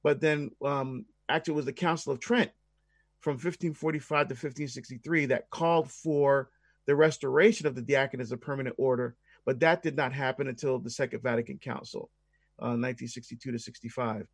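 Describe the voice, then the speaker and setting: A man's voice: mid-range voice, fairly even intonation, a little fast, slightly rough, no room sound. A man with an American accent speaking as an interview guest, explaining things conversationally. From Barbados and the United States.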